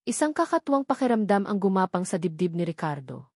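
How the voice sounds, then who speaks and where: A woman's voice: medium pitch, sing-song, fast talker, a little mellow, husky, no echo, studio quality. A woman with a Filipino accent reading a scripted dramatic story as its narrator.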